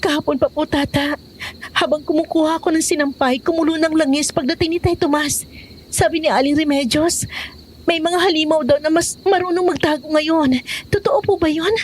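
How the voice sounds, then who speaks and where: Actress as a high-voiced, anxious young village woman. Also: steady tone, moderate pace, a little hiss or hum, lightly acted. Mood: frightened. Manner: deferential, hesitant. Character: polite, anxious pregnant young village woman hiding fear. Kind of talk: audio horror drama acting.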